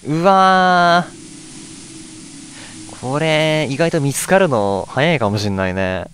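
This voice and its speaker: A man's voice: on the high side, lively intonation, takes it slowly, a bit bright, a little hiss or hum. A man giving casual gameplay commentary, often murmuring questions to himself as he plays. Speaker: let's play host. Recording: game music behind the voice. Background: video game music.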